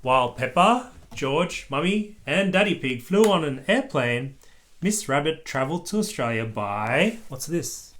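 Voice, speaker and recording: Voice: medium pitch, lively intonation, measured pace, fairly bright tone. A parent reading a children's story aloud expressively, with patient explanatory asides to a small child.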